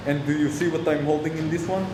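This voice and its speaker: A man's voice: a little high, fairly even intonation, fast, natural voice. A man playing a mocking, menacing old professor in a radio drama. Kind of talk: radio play acting. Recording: echoey, clearly noisy room.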